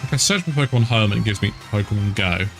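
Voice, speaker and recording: A man's voice: fairly low pitch, expressive, quick pace, vocal fry, fairly bright tone. A man from the UK casually commenting while playing a video game, with wry, grumbling asides. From United Kingdom. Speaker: gaming streamer. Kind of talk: casual let's-play commentary. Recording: game music behind the voice. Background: video game music.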